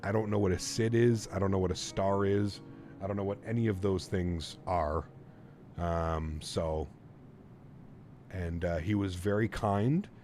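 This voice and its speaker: A man in his thirties with a lowish voice chats easily from a home streaming setup, reading viewer comments aloud and thinking out loud. He talks in a relaxed, rambling way with fillers and restarts. Faint music plays underneath. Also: a little slow. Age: 30s. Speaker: flight sim streamer.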